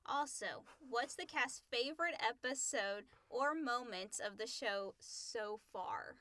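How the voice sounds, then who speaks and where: Bright, higher-pitched woman co-hosting, dry sound. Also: animated, takes it slowly, no room sound. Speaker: podcast co-host reading listener questions. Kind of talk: casual Q&A, reading questions aloud.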